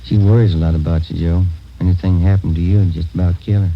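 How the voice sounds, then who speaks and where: A man's voice: deep voice, fairly even intonation, fast. An American man playing a loyal, concerned, plain-spoken police partner in a radio drama, talking in a familiar way. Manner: familiar, caring. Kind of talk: 1950 radio drama acting. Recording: dull old radio recording, faint noise.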